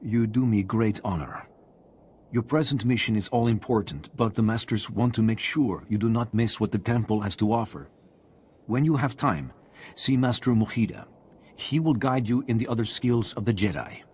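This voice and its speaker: Game casting: male actor as polite ceremonial messenger, low register, deferential, slight ambient noise. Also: normal speed, a little mellow, natural voice. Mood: courteous. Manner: formal, courteous. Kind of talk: video game dialogue.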